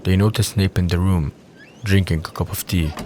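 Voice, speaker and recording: A man's voice: deep voice, fairly even intonation, normal speed, mellow, slight background noise. A man reading scripted third-person narration for an audio drama.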